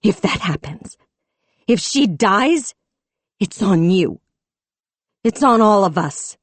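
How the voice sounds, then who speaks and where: A woman voicing a fierce young female off-duty police officer who shouts in anger and fights back tears, in an audiobook reading. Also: fairly low pitch, lively intonation, moderate pace, dry recording, pitched above the performer's own voice, lightly acted. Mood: furious shouting. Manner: shouting, furious, tearful.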